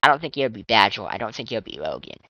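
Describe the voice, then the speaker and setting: Tired young man with a high, bright voice. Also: big pitch swings, rapid-fire, no room sound. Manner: chatty, swearing, trailing restarts. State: strained, tired voice. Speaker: fan commentator. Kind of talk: casual rambling monologue. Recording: dull lo-fi dry mic.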